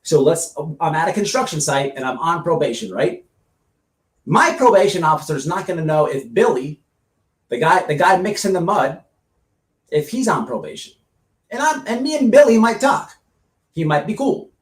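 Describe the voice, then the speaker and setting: A man's voice: a little high, sing-song, moderate pace. A man giving blunt, casual, opinionated commentary, emphatic and repeating his phrases as he explains with examples. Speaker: online streamer giving commentary. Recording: roomy, distant mic with faint noise.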